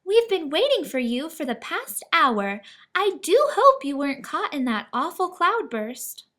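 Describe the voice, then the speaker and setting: High, prim lady giraffe played by a woman. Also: sing-song, unhurried, a bit bright, exaggerated voice. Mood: welcoming. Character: prim, polite, ladylike female giraffe hostess.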